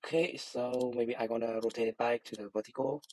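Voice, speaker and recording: A young man with a Vietnamese accent, a native Vietnamese speaker, walking through his code in a halting student demo with frequent filler sounds. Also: medium pitch, fairly even intonation, darkish tone. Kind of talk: screen-recorded code walkthrough and app demo.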